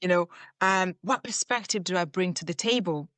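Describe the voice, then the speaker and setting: Low-voiced woman from Zambia, reflective. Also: moderate pace, fairly bright tone, no echo. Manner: reflective, explains with everyday examples. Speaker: guest, leadership coach. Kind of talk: conversational interview answers.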